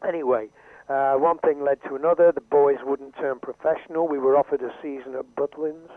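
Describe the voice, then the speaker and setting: An older man, a veteran radio DJ, telling his career story in lively, chatty showman patter. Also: medium pitch, a little fast, dry recording. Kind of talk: casual interview storytelling. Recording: dry tinny phone line.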